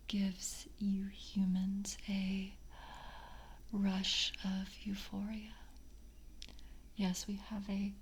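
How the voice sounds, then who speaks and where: A woman voicing a polite, hungry, slyly predatory vampire coven screener in a soft ASMR roleplay, whispering and hesitant, with formal, coaxing words. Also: mid-range voice, slight background noise, close to an everyday voice.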